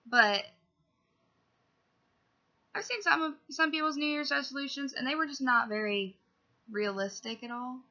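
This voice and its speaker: A woman's voice: fairly high pitch, pushed and bright. A young woman chatting casually to camera in a rambling vlog update from home, sniffling from a cold, filling her talk with asides and fillers. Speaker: vlogger. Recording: dull lo-fi audio.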